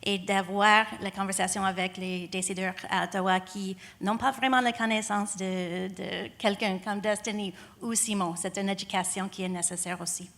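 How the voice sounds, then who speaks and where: A woman from Canada whose first language is English, speaking on a panel with an English Canadian accent, halting and searching for words. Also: mid-range voice, fairly even intonation, vocal fry, a bit bright. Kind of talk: panel discussion.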